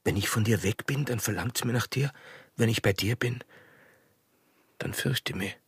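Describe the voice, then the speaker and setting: Husky, tormented Austrian border guard. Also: male voice, mid-range voice, dry recording, studio quality. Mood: tormented, resigned. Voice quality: very husky, breathy. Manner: brooding, halting, swinging from murmur to outburst. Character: tormented, infatuated border guard, orderly man unravelling. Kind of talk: German radio drama acting, intimate.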